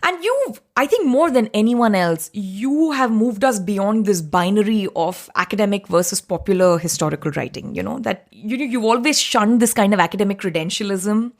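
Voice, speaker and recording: A woman's voice: medium pitch, lively intonation, normal speed, few pauses. A woman, hosting an interview, asking probing questions and often ending points with "right?". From India, grew up in Delhi. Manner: inquisitive, frequent tag questions "right?". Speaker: podcast host. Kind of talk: interview conversation.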